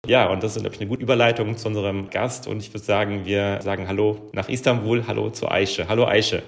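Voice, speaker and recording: A man with a fairly low voice hosts a casual interview podcast. He speaks informally and gives encouraging responses. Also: steady tone, fairly quick, vocal fry. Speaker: podcast host.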